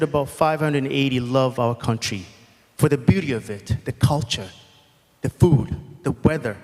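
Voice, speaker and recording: A man's voice: medium pitch, darkish tone. Passionate man in a hall. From Uganda. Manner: passionate, speaks from the heart, outspoken. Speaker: guest speaker, foundation founder. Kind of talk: impromptu speech at an event. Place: convention hall. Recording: long hall reverb.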